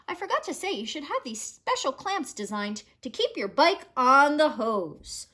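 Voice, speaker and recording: A woman in her thirties reading a children's picture book aloud in an expressive storytelling manner, drawing out words. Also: high voice, normal speed. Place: small home room, indoors.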